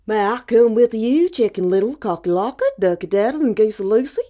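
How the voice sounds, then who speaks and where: A woman's voice: fairly high pitch, lively intonation, brisk, dark tone, natural voice. A woman voicing curious, friendly farmyard birds in a fairy tale, in an eager, questioning singsong.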